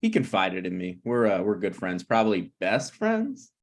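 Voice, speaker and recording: Man reading as brazen scheming slacker, animated delivery. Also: on the low side. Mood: pushy, conniving. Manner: blunt, presumptuous, halting. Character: Ryan, brazen, scheming slacker screenwriter, male. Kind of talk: table read of a TV pilot script.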